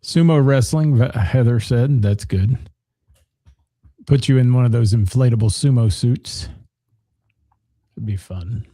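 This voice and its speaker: Radio co-host, hoarse, very dark husky tone, dry studio sound. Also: male voice, mid-range voice, measured pace, long pauses, dry recording. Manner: joking, rambling, with restarts. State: losing his voice. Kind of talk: casual on-air chat, reading listener comments.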